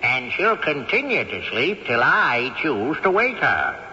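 A crotchety old great-grandfather's ghost, haughty and sly, his voice bright and swinging widely in pitch. He chuckles to himself as he schemes. It sounds like a dull old radio broadcast with a long reverb. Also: very animated, takes it slowly, exaggerated voice. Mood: smug. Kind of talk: 1970s radio drama acting.

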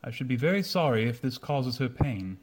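A man playing a proud, haughty young gentleman in a radio drama, speaking stiffly and courteously. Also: mid-range voice, very animated, normal speed. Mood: cool, guarded.

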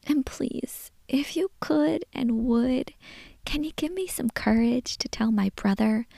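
A woman reading a young girl's prayer letter in a soft whisper. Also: high voice, slow pace, gravelly, dry recording, full character voice. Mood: earnest.

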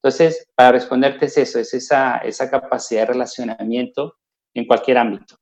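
A man's voice: medium pitch, little pitch movement, a little fast, darkish tone. A Colombian man, a podcast guest, answering casually and modestly with many fillers over dull, lo-fi call audio. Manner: self-deprecating, chatty, many fillers.

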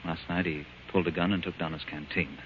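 A man's voice: low voice, fairly even intonation, fast, slight background noise, close to an everyday voice. An actor playing an anxious, protective man with a guilty secret in 1940s radio drama style. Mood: explaining. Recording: muffled, humming old radio recording.

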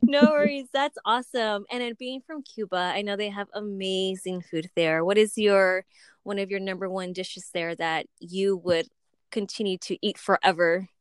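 A woman's voice: medium pitch, steady tone, moderate pace, smooth, dry recording. A woman in her thirties hosting an interview show, upbeat and gushing as she welcomes her guest. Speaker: podcast host. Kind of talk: casual interview chat.

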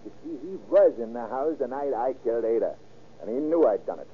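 An actor playing a cocky, stubborn, mean killer who confesses casually in folksy slang, in old radio crime drama style. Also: male voice, mid-range voice, big pitch swings, fairly quick, vocal fry, slightly rough, full character voice. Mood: casual confessing. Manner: breezy, unrepentant, folksy slang. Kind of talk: 1930s-40s radio crime acting. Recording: tinny, noisy old radio recording.

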